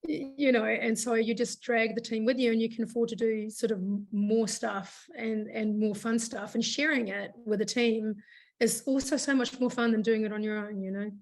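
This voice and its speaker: Hoarse woman, chatty business founder. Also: a little high, steady tone, moderate pace. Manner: rambling, many fillers and restarts. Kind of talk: casual interview chat.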